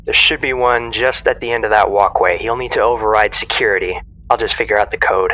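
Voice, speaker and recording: A man's voice: on the low side, fairly even intonation, fairly quick, bright, forceful tone, poor audio. A man acting in an audio drama as a flippant, teasing inventor and loyal sidekick.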